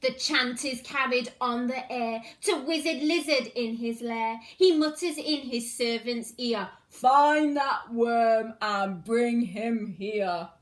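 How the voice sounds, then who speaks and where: A woman's voice: high-pitched, fairly bright tone. A woman reading a children's story aloud as a teacher, with exaggerated, sing-song expression in a roomy, echoing space. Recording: roomy, echoing indoor sound.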